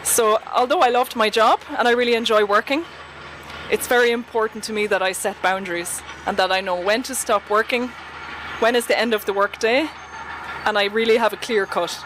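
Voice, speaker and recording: A woman's voice: fairly high pitch, steady tone, a little fast, noisy background. A woman with an Irish accent, answering interview questions in a direct, confident way. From Ireland. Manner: direct, confident, advice-giving. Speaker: guest.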